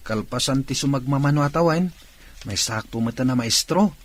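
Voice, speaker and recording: A man's voice: medium pitch, normal speed, lightly acted. A man acting as an earnest, protective young student and older brother in an Ilocano radio drama. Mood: determined.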